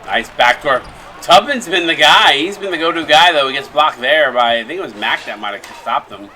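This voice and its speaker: A male commentator from New York, short on sleep, calling the action in a relaxed, chatty way. His voice is bright and forward, with a faint hiss of noise underneath. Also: a little high, normal speed. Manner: easygoing, chatty, calls plays between banter. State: tired from little sleep. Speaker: play-by-play commentator. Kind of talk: casual sports commentary on a simulated game.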